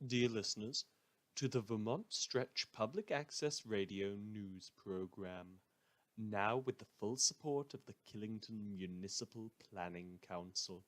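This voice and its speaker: A man playing an eccentric, self-important community radio newsreader who delivers comedic news in a theatrical, deadpan, rambling way. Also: mid-range voice. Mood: grandly welcoming.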